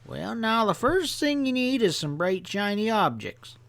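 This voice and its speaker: A man's voice: high-pitched, very animated, a little slow, vocal fry, fairly bright tone, pitched above the performer's own voice, exaggerated voice. A man voicing a mischievous, folksy old country grandfather who explains things with earnest emphasis in an audiobook story. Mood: earnest, instructive. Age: older.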